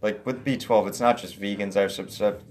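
A young man with a lowish, soft voice talks as a guest in a casual chat. He rambles, leans on "like" constantly and restarts his sentences. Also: fairly even intonation, brisk. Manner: rambling, heavy use of "like", restarts.

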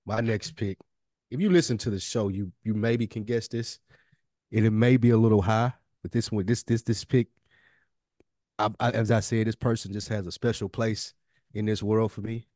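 Male podcast co-host, lowish voice, dry sound, colloquial delivery with repeated phrases. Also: steady tone, moderate pace, a little mellow, no room sound. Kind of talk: casual chat.

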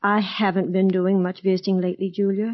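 An actress playing a prim, fretful middle-aged aunt, anxious and proper, in a mid-century radio drama. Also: female voice, mid-range voice, level tone, fast, lightly acted. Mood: hesitant. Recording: dull lo-fi old radio recording.

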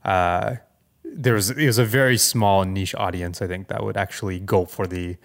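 A man's voice: low-pitched, moderate pace, creaky. A man co-hosting a casual tech podcast, thinking aloud in a tentative, conversational way. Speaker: podcast co-host.

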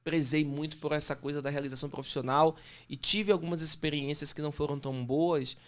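A man's voice: a little high, steady tone, fairly quick, clear, resonant tone. A man in his thirties with a Bahian Brazilian accent, chatting casually as an interview guest. He talks informally, with slang and frequent self-corrections. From Salvador, Bahia, Brazil. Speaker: podcast guest, sales consultant. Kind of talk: casual interview chat, telling career story.

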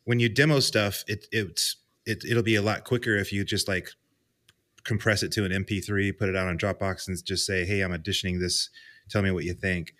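Man, American, podcast host, casual rambling chat, low voice, bright forceful tone. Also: fairly even intonation, fairly quick. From United States. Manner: rambling, upbeat, with frequent fillers.

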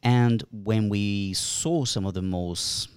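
A man with a fairly low voice co-hosts a casual talk show, pressing his guest with pointed, opinionated questions. The sound is dry and close, with no room around it. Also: slow pace, darkish tone, clean tone, dry recording. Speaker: co-host. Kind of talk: casual discussion.

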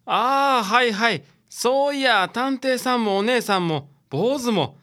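A man's voice: very high-pitched, lively intonation, measured pace, bright, forceful tone, heavily acted. A man playing a brash, grumbling young sushi delivery worker with a Kansai accent in a radio drama. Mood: casual. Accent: Kansai Japanese. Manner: blunt, complaining, casual. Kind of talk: community radio drama acting.

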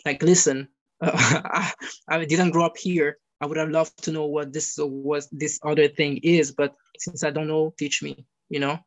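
A young man, a guest talking casually in an interview and often pausing with fillers while he searches for words in a language that is not his first. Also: on the high side, flat delivery. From Italy. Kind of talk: casual conversation.

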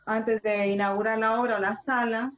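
A woman, interpreting in the first person for a deaf guide in an interview, speaking haltingly with many restarts. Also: on the high side, flat delivery. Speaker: sign language interpreter. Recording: roomy, dull lo-fi sound with faint music.

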